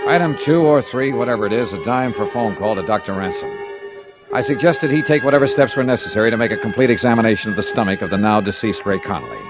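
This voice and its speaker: A man's voice: lowish voice, animated, fast talker, close to an everyday voice. Man playing a hard-boiled radio detective, music behind. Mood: brisk, matter-of-fact. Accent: American. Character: adult male insurance investigator, hard-boiled, brisk and wry. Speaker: lead actor and narrator. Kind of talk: 1950s radio detective drama acting. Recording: vintage radio broadcast with music.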